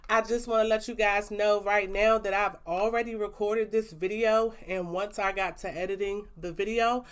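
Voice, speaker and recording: A young woman with a loud, lively voice talks through her monthly savings numbers into a plugged-in mic. She sounds upbeat and chatty, tossing in slangy asides between figures. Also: medium pitch, normal speed, clear, resonant tone. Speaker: budgeting vlogger. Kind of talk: casual budgeting update. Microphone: plug-in microphone.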